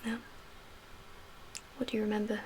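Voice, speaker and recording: A woman playing a calm survivor camp leader in a soft-spoken ASMR roleplay, with a breathy, whispery voice and a gentle, measured, hushed manner. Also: mid-range voice, lightly acted, low-quality recording. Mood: calm, explaining. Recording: clear background ambient noise.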